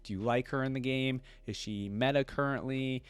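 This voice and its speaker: A man giving casual reaction commentary as a video host, informal and rambling as he thinks out loud. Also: mid-range voice, moderate pace, clean tone, dry recording.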